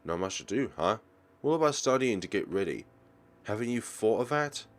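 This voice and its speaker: A man voicing a stern, condescending male schoolteacher in a visual novel, scolding slowly. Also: fairly low pitch, lively intonation, natural voice.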